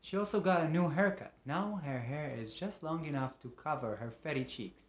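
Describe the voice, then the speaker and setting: A man performing a dramatic monologue as a lonely, embittered father, emotive and moving between hushed and agitated. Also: fairly high pitch, moderate pace, muffled recording, close to an everyday voice. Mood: storytelling. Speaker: monologue actor. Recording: roomy, echoing room.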